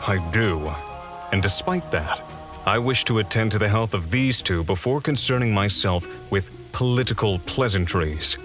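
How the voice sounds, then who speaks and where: An actor playing a composed, principled elf patrol leader in a fantasy audio drama, speaking formally and with command. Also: male voice, lowish voice, expressive, normal speed, a bit bright. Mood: firm, polite. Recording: music behind the voice.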